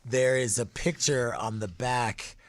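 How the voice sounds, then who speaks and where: A man's voice: mid-range voice, steady tone, takes it slowly, dry recording, studio quality. A man speaking as a guest author in an interview, telling casual stories and acting out bits of what was said. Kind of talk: casual conversational storytelling.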